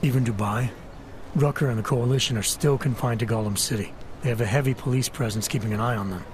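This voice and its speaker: A man's voice: mid-range voice, fast talker, slight background noise, lightly acted. An actor playing a terse, skeptical male special agent in a video game, dry and questioning. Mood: doubtful. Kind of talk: video game cutscene acting.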